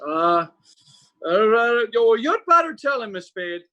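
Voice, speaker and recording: A man playing a high-voiced, flustered henchman. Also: very animated, measured pace, pushed and bright, lo-fi sound, full character voice. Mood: nervous, apologetic. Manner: stammering, deferential, wordy. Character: flustered, fawning talkative henchman to a crime boss. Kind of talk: old-time radio detective drama acting.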